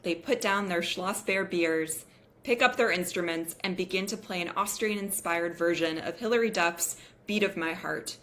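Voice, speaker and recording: Lower-pitched woman narrating a script. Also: normal speed, a bit bright. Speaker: narrator reading stage directions. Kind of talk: scripted narration at a table read.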